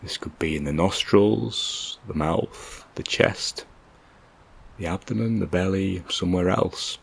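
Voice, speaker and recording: Very slow, low-voiced man guiding meditation. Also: vocal fry, slightly rough. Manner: gentle, calm, measured with long pauses. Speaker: meditation guide. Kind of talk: guided meditation, soft slow instruction.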